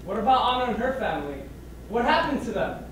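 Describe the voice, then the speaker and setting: A man acting on stage as a survivor, reciting his lines in a measured way. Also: very high-pitched, sing-song, a bit bright, full character voice. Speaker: stage actor playing a survivor. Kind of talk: staged theatrical narration. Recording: echoey hall from far off, with hiss.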